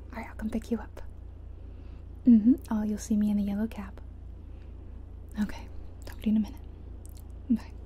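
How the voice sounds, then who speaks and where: A soft-spoken woman doing an ASMR roleplay as a friendly, chatty taxi driver, in a gentle, whispery delivery. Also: on the high side. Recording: faint background noise of game car sounds. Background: car sounds from a driving game.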